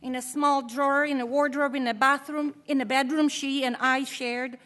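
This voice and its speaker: A middle-aged woman from Poland, a professor, giving a formal commencement keynote at a podium microphone in a measured, deliberate way. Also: high voice, little pitch movement, fairly bright tone.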